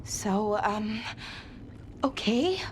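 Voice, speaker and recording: An actress playing a gentle, brave, earnest young woman in a fantasy game, speaking a little hesitantly. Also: medium pitch, lively intonation, very slow, a little hiss or hum. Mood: hesitant, relieved. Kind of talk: scripted fantasy game dialogue.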